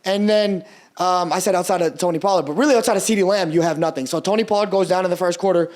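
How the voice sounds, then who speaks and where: A young man whose first language is Portuguese, talking football with friends on a podcast. His voice sits a touch high for a man, and he talks in quick, animated bursts, often cutting himself off and swearing freely. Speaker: podcast co-host. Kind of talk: casual sports chat.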